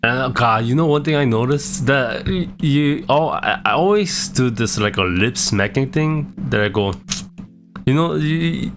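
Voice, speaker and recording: A young man with a low-pitched voice and a non-native English accent talks in a hesitant, self-deprecating way, often restarting his sentences. Clear guitar music plays behind him. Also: measured pace. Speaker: streamer. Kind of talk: casual reflective vlog.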